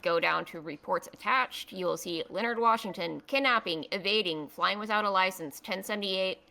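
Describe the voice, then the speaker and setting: A woman's voice: medium pitch, normal speed, creaky, sharp tone, poor audio. A woman giving improvised testimony as a witness in a roleplay trial, insistent and pushing back on questions.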